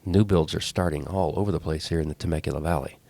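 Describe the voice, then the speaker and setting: A man with a low voice and a congested, clogged-up sound from a head cold hosts a podcast. He talks casually, rambles, and restarts his sentences often. The recording is dry, with no room sound. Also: fairly even intonation, quick pace, gravelly, warm tone, no room sound. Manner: rambling, self-correcting. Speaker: podcast host. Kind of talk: casual talk.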